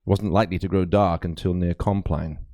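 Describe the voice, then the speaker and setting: A man's voice: deep voice, fairly even intonation, a little fast, mellow, no echo, clean studio sound. A man reading a mystery novel aloud as a dramatic, scripted narration. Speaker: audiobook narrator, actor.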